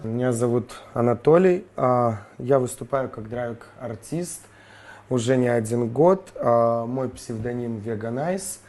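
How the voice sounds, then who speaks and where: Calm man with a mellow voice. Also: mid-range voice, measured pace. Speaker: drag artist. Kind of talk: documentary interview.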